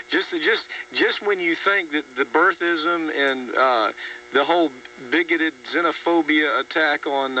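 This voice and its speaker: A man with a slightly high, bright, forceful voice hosts political talk radio. He is sardonic and emphatic, stalling and repeating words to drive points home. The sound is dull and distant, with a faint hum. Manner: mocking, sardonic. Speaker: radio talk show host.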